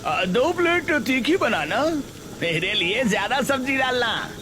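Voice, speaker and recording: A man's voice: extremely high pitch, very animated, unhurried, bright, forceful tone, a little hiss or hum, pitched above the performer's own voice, full character voice. A man voicing eager, hungry passing customers at a food stall in a cartoon. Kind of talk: scripted cartoon dialogue.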